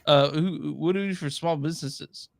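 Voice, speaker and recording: A man's voice: on the high side, normal speed, gravelly, dry recording, studio quality. A man co-hosting a podcast, chatting casually and informally with some swearing. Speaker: podcast co-host.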